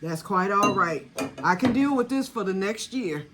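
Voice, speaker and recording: A woman's voice: mid-range voice, expressive, moderate pace, background noise. A woman in her sixties, chatting casually to camera about her homemade batch, rambling and talking straight to her viewers. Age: 60s. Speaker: home vanilla maker.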